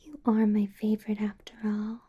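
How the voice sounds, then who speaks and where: A woman voicing a possessive, doting vampire boss in a whispered, breathy ASMR roleplay, coaxing and quietly sinister. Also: medium pitch, flat delivery, highs cut off. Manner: slow, coaxing, with small chuckles and pauses.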